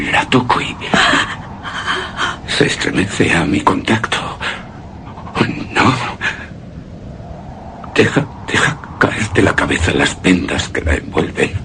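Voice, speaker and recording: An actor playing a terrified, anguished widower in a gothic horror radio drama, speaking theatrically in halting, repeated phrases. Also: male voice, deep voice, background noise, exaggerated voice. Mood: frantic, then hushed horror. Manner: halting, theatrical, repeats words, breaks into hushed gasps. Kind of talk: Spanish-language horror radio drama monologue.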